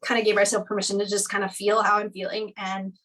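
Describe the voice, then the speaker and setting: A woman's voice: mid-range voice, flat delivery, quick pace, fairly bright tone, distant mic, low-quality recording. A woman in her thirties, a guest chatting casually in an interview, rambling with lots of "like" and light laughs.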